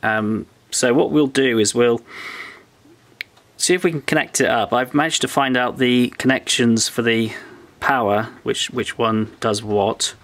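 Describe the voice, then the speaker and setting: A man talking through a piece of old electronics at his workbench, explaining each part step by step as he thinks aloud in a relaxed way. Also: medium pitch, unhurried. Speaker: electronics restorer. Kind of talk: hands-on show-and-tell. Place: workbench indoors.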